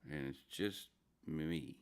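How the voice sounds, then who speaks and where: Gravelly, low-voiced man on a sleepy morning stream. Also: slow, a little mellow. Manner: drowsy, rambling, greets chatters by name. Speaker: livestream host. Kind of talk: casual morning chat with viewers.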